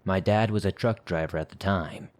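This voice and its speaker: A man hosting a horror podcast, reading listener stories aloud in a steady, measured way. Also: low-pitched. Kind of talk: scripted horror story narration.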